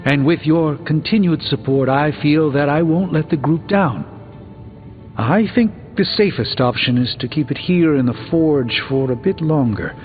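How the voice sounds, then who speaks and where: An actor playing a cautious, scholarly male sorcerer in a video game, measured and articulate, with uneasy dry wit. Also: medium pitch, natural voice. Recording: muffled narrow-band sound with long reverb. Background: music.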